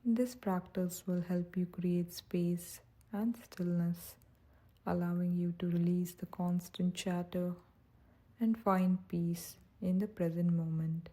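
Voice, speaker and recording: A woman guiding a meditation in a soft, breathy, soothing and gentle voice, speaking without hurry. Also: fairly low pitch, level tone, takes it slowly. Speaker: meditation guide. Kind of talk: guided meditation narration.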